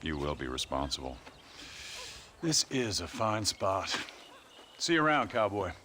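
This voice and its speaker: An actor playing an enigmatic stranger in a western video game, with an American accent and a calm, cryptic manner. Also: male voice, deep voice, a little slow, a little hiss or hum, full character voice. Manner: cryptic, unruffled. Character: enigmatic, calm, ominous stranger in the Old West. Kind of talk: video game cutscene acting.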